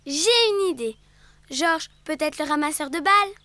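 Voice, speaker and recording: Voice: high voice, expressive, moderate pace, very noisy. An actor voicing a friendly young animal playmate in a French cartoon dub for young children. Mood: eager.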